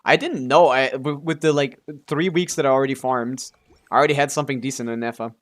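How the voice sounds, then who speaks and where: A man's voice: medium pitch, steady tone, quick pace. A man casually commentating on a game livestream, swearing freely as he reacts aloud and talks to himself and his chat. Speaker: game streamer.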